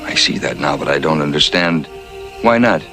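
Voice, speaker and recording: Weary, pleading son, old television drama: deep, earnest, halting, with clear underscore. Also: male voice, lively intonation, pushed and bright, exaggerated voice. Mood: subdued, questioning. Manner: earnest, wistful, pausing. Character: world-weary grown son, wistful and pleading. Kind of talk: mid-century TV drama acting. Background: dramatic music.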